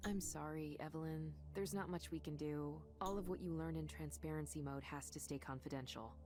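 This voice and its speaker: An actress voicing a gentle, sympathetic supervisor in a visual novel game, measured and reassuring, explaining things patiently. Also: female voice, sits low, close to an everyday voice. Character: gentle sympathetic female supervisor of counseling proxies. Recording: music behind the voice.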